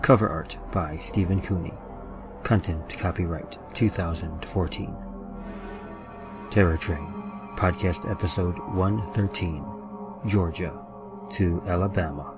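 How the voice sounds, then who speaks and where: A man's voice: low-pitched, steady tone, unhurried, long pauses. A man reading scripted podcast credits as an announcer. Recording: dull lo-fi sound. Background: music.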